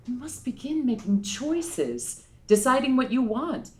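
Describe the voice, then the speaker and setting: A woman voicing a stern female fortune-telling teacher who lectures in a grave, measured way at a table read. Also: high voice, animated, a little mellow. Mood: solemn.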